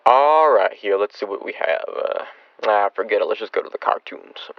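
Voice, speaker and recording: A man's voice: fairly low pitch, normal speed, no room sound, lo-fi sound, faint music behind, lightly acted. A young man playing a father muttering to himself at home in a radio comedy. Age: teenager or young adult. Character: grown father reading the paper at home.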